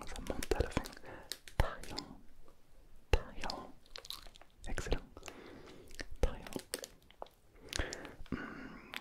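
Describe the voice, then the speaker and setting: Slow whispering man, ASMR teacher. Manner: soft whisper throughout, playful and self-mocking. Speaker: pretend Mandarin teacher. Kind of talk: whispered ASMR roleplay lesson.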